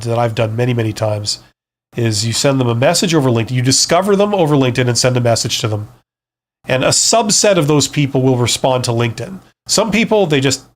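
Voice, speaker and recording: Male live stream host, career advisor type. Frank, casual, conversational delivery with frequent check-ins, medium pitch. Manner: conversational, frank, often checks in with "right?" and "you know?". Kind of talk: casual live stream advice, answering viewer comments.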